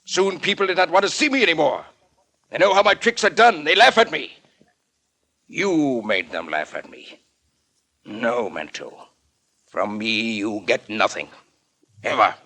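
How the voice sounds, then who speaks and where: A man's voice: mid-range voice, expressive, measured pace, long pauses, pushed and bright, heavily acted. A man playing a bitter, proud old magician in 1940s radio style, with emphatic, indignant, stilted phrasing. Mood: bitter, accusing. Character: bitter, proud old male magician turned trick builder.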